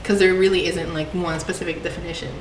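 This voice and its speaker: A woman's voice: lowish voice, fairly even intonation, quick pace. A young woman from Puerto Rico, a student telling personal stories for a class project in a chatty way, with many fillers. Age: young adult. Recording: echoey, distant mic, background noise.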